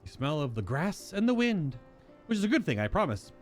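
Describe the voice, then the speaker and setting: A man puts on a friendly herder of a nomadic fantasy tribe. The delivery is a welcoming, storytelling game read with a lively, swinging pitch over faint music. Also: a little fast. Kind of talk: reading fantasy game dialogue aloud.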